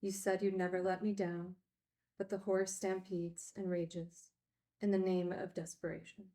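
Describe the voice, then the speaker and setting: An actress playing a candid, wry recovering addict who teaches at a group home, in a naturalistic screenplay read. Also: female voice, lowish voice, little pitch movement, measured pace, dark tone, lightly acted. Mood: sorrowful.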